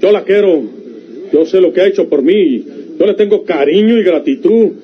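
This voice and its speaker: An actor playing a rough, passionate outlaw lover in a Mexican radionovela, with a rural northern Mexican accent and an emphatic, insistent delivery. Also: male voice, sits high, normal speed, lightly acted. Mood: ardent, tender. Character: rough, impassioned outlaw man, possessive and tender lover. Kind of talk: golden-age Mexican radionovela acting. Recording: muffled vintage radio recording with hiss.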